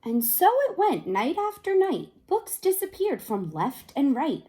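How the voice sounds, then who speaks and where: A woman reading a children's picture book aloud expressively, pausing to ask her young listeners questions. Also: sits high, big pitch swings, dark tone. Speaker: read-aloud host, storyteller.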